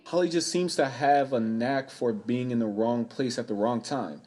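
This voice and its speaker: Man playing an earnest, flustered, husky-voiced young sleuth. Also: medium pitch, moderate pace, darkish tone, natural voice. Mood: earnest, guarded. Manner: hesitant, earnest, easily flustered. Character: earnest, flustered male amateur sleuth, loyal and polite. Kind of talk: old-time radio play acting.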